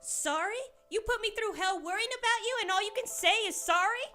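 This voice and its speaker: A woman's voice: extremely high pitch, brisk, sharp tone, faint music behind, voice pushed up. A woman playing a young student in an audio drama, a fretful sister with a fiery temper and a casual, emotional manner. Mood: furious.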